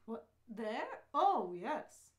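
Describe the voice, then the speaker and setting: A woman's voice: a little high, big pitch swings, drawn-out words, heavily acted. A woman playing a bewildered, indignant human stranded in space, questioning everything in an amateur radio play. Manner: questioning, exasperated.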